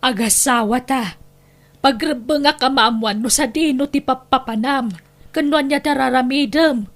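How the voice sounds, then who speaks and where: A high, plaintive voice carries this long-suffering Ilocano wife and mother played by a woman. She is anxious and pleading, in the style of a family radio drama. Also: a little slow. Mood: upset, confronting.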